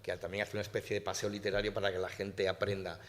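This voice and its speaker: A male moderator with a fairly low voice who prompts panelists and turns the talk to each of them in turn. The room adds a long hall reverb. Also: completely flat delivery, quick pace, a little hiss or hum. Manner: prompting, addresses panelists by name. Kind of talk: moderating a round-table discussion. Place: lecture hall at a public panel.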